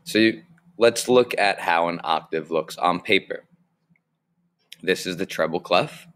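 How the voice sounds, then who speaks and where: A young man with a low voice explains music basics slowly and clearly, teaching a recorded online lesson from a small room on his webcam mic. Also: a bit bright.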